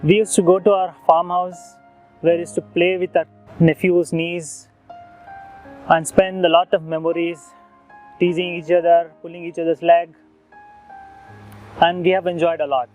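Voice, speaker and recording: A man's voice: fairly high pitch, flat delivery, normal speed, long pauses, a little mellow. A man giving a personal recollection. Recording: dull lo-fi audio, faint music.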